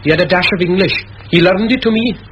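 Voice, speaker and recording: Casting: unschooled pit lad, blunt and wary, lightish pitch, old muffled radio drama sound. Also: male voice, fast, fairly bright tone. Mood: blunt, guarded. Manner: terse, plain-spoken, ungrammatical. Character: unschooled, blunt, wary teenage Welsh coal-miner boy. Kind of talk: vintage radio play acting. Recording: muffled old radio recording, faint hiss.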